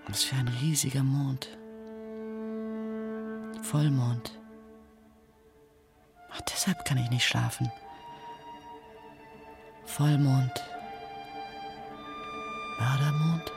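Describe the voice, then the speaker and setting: An actor playing a weary, sleepless, melancholy man with a creaky voice in an introspective radio drama monologue. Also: mid-range voice, fairly even intonation, drawn-out words, long pauses, music in the background. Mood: brooding.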